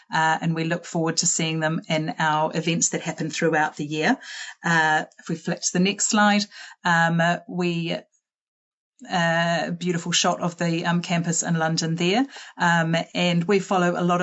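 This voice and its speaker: A middle-aged woman with a New Zealand accent giving an online school presentation, brisk with many fillers. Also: fairly low pitch, muffled recording. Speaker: head of college presenting.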